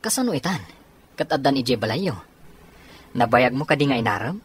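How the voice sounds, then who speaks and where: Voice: mid-range voice, lively intonation, moderate pace, breathy edge. An actor with an Ilocano accent playing a male soldier, a supportive buddy, in a radio drama. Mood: concerned, questioning.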